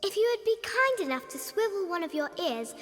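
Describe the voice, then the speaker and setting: A woman's voice: very high voice, very animated, brisk, a bit bright, exaggerated voice. An English actress playing a brave, polite, bossy young girl, eager and well-spoken, in a dramatized audiobook. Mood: polite, reluctant.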